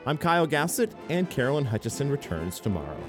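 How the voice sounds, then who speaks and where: A man's voice: medium pitch, sing-song, fast. A man reading a polished radio show outro as host, with music underneath. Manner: announcer-style, polished delivery.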